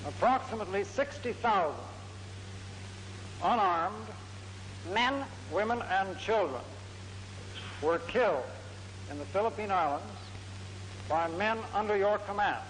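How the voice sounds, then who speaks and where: High-voiced male prosecutor in court. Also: animated, fairly bright tone. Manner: deliberate, broken into measured phrases. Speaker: military prosecutor. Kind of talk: formal courtroom address. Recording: noisy 1940s courtroom archive recording.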